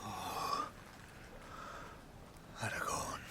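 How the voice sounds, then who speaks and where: An actor playing a solemn, loyal elf archer in a radio drama, formal and regretful in manner. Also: male voice, drawn-out words, pushed and bright, whispered, heavily acted. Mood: shocked, regretful.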